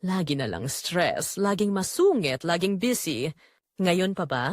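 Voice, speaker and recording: A woman's voice: on the low side, big pitch swings, unhurried, dry recording, clean studio sound. A woman with a Filipino accent voicing a brazen, dismissive, self-justifying adulterous wife in a story narration. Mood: hurt, defensive. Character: brazen, defensive adulterous overseas-worker wife. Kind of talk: acted dialogue in story narration.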